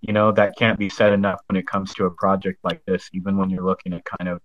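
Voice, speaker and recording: Dull recording, man, extremely flat delivery, measured, reflective, hesitant panel commentary. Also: deep voice. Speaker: panel speaker.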